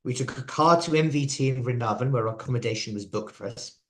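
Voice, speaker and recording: A man in his forties recounts his own journey in a reflective, unhurried way. He laughs softly now and then and often restarts his sentences, his voice sitting at an ordinary middle pitch. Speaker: devotee sharing his story. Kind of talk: personal testimony talk.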